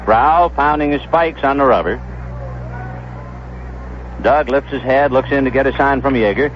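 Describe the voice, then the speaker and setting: An American man calling baseball play-by-play on the radio, conversational and descriptive, filling in stories between pitches. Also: measured pace. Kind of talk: play-by-play commentary. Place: ballpark broadcast booth. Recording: dull, noisy vintage 1970s radio broadcast.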